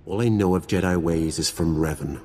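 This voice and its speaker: An actor playing an ancient, proud Sith lord in a video game, speaking in a formal, solemn and disdainful manner. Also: male voice, deep voice, moderate pace, a little mellow. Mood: scornful. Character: ancient, grim, proud Sith lord, three centuries old. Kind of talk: dramatic video game voice acting.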